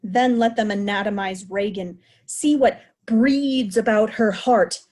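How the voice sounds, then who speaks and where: A woman's voice: medium pitch, measured pace, a little mellow, lightly acted. A woman playing a mad, commanding old king in a Shakespeare reading. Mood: distracted, weary. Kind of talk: remote reader's theatre Shakespeare.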